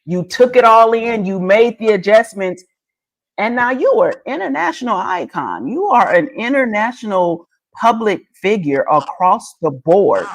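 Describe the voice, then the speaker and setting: A woman's voice: medium pitch, sing-song, measured pace. A woman hosting a casual interview podcast, playful and enthusiastic, hyping up her guest. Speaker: podcast host.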